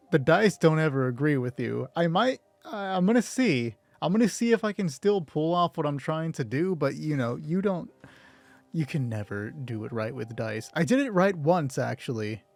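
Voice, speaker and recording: A man's voice: fairly high pitch, expressive, moderate pace, creaky, no room sound. A man hosting a solo roleplay show in casual, rambling, self-deprecating commentary, swearing offhandedly. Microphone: close mic with gain set high.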